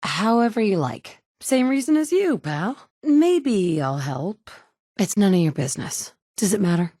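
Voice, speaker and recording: A woman's voice: medium pitch, sing-song, a little slow, mellow, dry recording. A woman voicing a player character in a video game, delivering short, dry, sardonic lines as a tough, wisecracking adventurer. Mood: cool.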